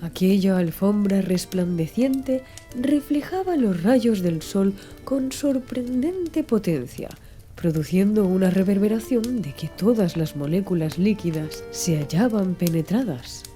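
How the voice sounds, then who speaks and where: Female audiobook narrator, very dark soft-edged tone, lively melodic delivery, music underneath. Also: medium pitch, unhurried. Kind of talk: scripted literary audiobook narration.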